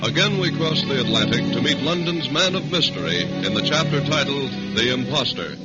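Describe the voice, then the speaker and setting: A man narrating and announcing a 1940s radio drama in a solemn, measured, formal style. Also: mid-range voice, animated. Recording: dull vintage radio recording, loud music.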